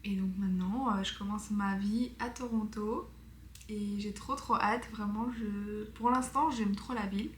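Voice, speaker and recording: A young woman talks through her vlog in an easy, chatty way, full of little fillers and upbeat asides. Her pitch stays fairly level. The sound is roomy and echoey, with a faint hiss underneath. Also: steady tone, unhurried. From Belgium. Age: 20s. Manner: chatty, many fillers, enthusiastic. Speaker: vlogger. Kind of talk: casual vlog chat. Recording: echoey room with faint noise.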